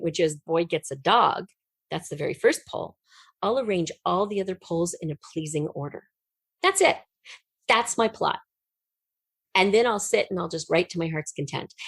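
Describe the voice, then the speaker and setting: Woman guest chatting about her writing process, lowish voice, dry close sound, thinks out loud. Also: creaky, breathy edge, no echo. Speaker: interview guest, fiction writer. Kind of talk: conversational interview explanation.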